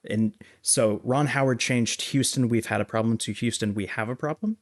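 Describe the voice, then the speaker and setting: A man's voice: mid-range voice, moderate pace. A man co-hosting a podcast, chatting casually as he discusses films. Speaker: podcast co-host. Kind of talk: casual film review discussion.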